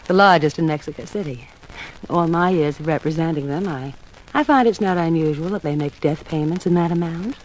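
A woman's voice: deep voice, brisk, close to an everyday voice. An actress playing a flirtatious, businesslike female insurance agent with coy patter in a 1950s radio drama. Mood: brisk, businesslike. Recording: noisy 1950s radio recording.

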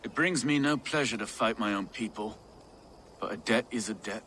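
An actor playing an earnest, conscientious Saxon fighter in a video game, speaking with reluctance. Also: male voice, lowish voice, normal speed, sharp tone, a little hiss or hum. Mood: reluctant. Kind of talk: video game dramatic acting.

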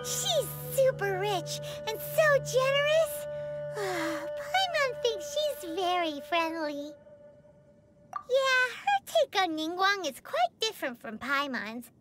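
A woman voicing a tiny, excitable childlike fairy companion in a video game, with a squeaky, chirpy delivery. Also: takes it slowly, over loud music, exaggerated voice. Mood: excited. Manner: chirpy, speaks of self in third person.

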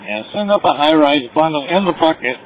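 A man's voice: on the high side, animated, fairly quick, dry recording. A man working as a firefighter, giving status reports over a two-way radio. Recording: dull, slightly noisy fireground radio.

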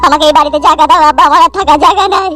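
A man's voice: extremely high pitch, steady tone, rapid-fire, sharp tone, soft background music. A man voicing a hapless, remorseful grown son in a comedy cartoon, pleading melodramatically.

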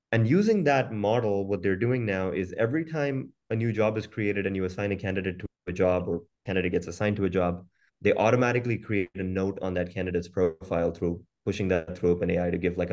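A man's voice: low voice, darkish tone. A man giving an informal, conversational webinar presentation over a video call, often repeating words as he goes. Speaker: company founder presenting product.

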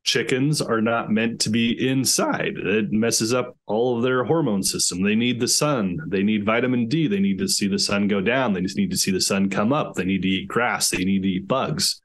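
A man's voice: lowish voice, moderate pace, few pauses. A man in his thirties, an organic farmer, talking eagerly over a video call with many restarts. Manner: enthusiastic, rambling with many restarts. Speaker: organic chicken farmer, podcast guest. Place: small room indoors.

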